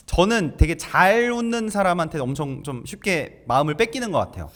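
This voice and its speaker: A man's voice: a little high, sing-song, normal speed, a bit bright. A man in his early thirties, a dating show contestant, talking in a sheepish, agreeable way. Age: early 30s. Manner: agreeable, sheepish, keeps saying yes. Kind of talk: casual chat.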